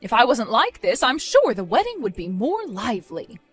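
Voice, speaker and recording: A woman's voice: a little high, wildly swooping pitch, normal speed, breathy edge, soft background music, full character voice. A woman voicing a stern, disappointed mother nearing retirement age in a dramatized story, scolding with weary firmness. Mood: stern, disgusted.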